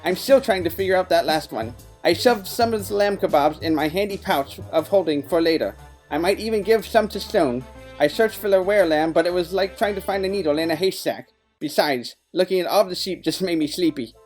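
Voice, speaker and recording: An actor playing a quirky, earnest detective who narrates an audio play in matter-of-fact, nerdy game jargon. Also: male voice, sits high, fairly quick, darkish tone, faint music behind, close to an everyday voice. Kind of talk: scripted first-person audio play narration.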